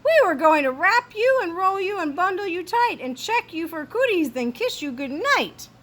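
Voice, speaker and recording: A woman's voice: extremely high pitch, big pitch swings, moderate pace, fairly bright tone, voice pushed up, heavily acted. A woman voicing a playful storybook goddess spirit, reciting in a sing-song manner. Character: three ghostly giggling goddesses preparing a mummy.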